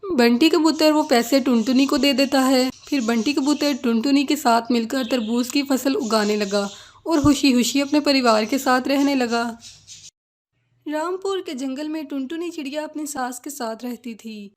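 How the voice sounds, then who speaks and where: A woman storyteller with a high voice. She reads scripted narration for a children's cartoon fable, setting out the tale line by line. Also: normal speed, slight background noise.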